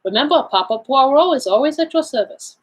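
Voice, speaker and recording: A woman voicing a courteous, self-assured Belgian male detective in an audiobook, speaking in a deliberate, formal, explanatory way. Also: sits high, animated, pitched above the performer's own voice. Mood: courteous, gallant. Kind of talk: audiobook character dialogue.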